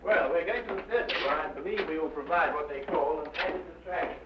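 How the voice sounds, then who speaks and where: A man with an English accent playing a shrewd, urbane gentleman detective in a vintage drama, composed and courteous, on an old recording. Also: a little high, quick pace, natural voice. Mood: composed, wry. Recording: dull, hissy old broadcast recording.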